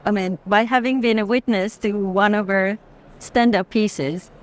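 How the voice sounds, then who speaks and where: A woman's voice: medium pitch, fairly even intonation, measured pace. A woman in an unscripted walking conversation about art, explaining reflectively and building long sentences in phrases. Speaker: art curator guiding a walk through a fair. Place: busy art fair hall, walking.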